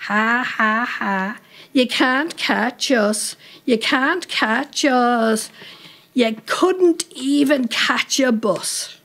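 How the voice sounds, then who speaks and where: Woman as a cheeky jeering boy, high-pitched. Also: drawn-out words, a bit bright, heavily acted. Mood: mocking, gleeful. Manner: jeering sing-song. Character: two cheeky, taunting young street boys.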